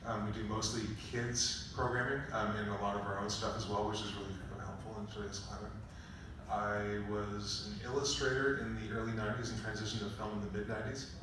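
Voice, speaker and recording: A man's voice: lowish voice, little pitch movement, fast talker. A man in his fifties, head of a studio, introducing himself graciously on a panel. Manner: warm, gracious. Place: conference hall. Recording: echoey hall, distant mic, noisy.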